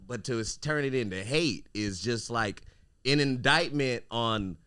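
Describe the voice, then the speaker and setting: A man's voice: medium pitch, unhurried, bright, forceful tone, clean tone. A man co-hosting a podcast, chatting loosely and joking while he laughs along with his guests. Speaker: podcast co-host. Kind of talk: casual podcast chat.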